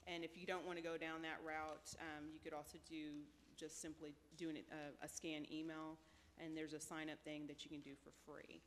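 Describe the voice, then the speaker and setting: A woman's voice: sits low, little pitch movement, normal speed, slight background noise. A woman presenting options at a city council meeting, hesitant and full of fillers. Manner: hesitant, many fillers, says she has a light voice. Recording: reverberant meeting hall.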